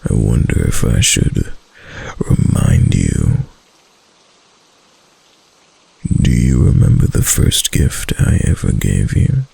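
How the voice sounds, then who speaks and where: Casting a male actor for a clingy yandere fox-spirit husband, intimate ASMR roleplay; slow, heavily creaky murmur, tender and obsessive. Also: wildly swooping pitch, warm tone, full character voice. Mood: lovestruck. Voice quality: deep, breathy, gravelly. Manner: slow, hesitant, coaxing endearments.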